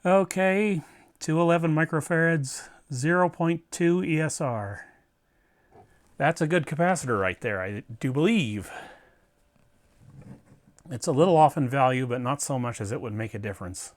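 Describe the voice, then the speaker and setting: A man's voice: fairly high pitch, moderate pace, long pauses. A man hosting a repair video, talking casually to the viewer and thinking aloud as he works through a hands-on job. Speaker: host of an electronics repair video.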